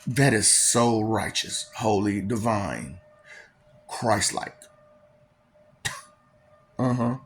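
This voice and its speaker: A man's voice: on the low side, drawn-out words, long pauses, slight background noise. A man giving a casual, preachy monologue full of rhetorical questions and filler.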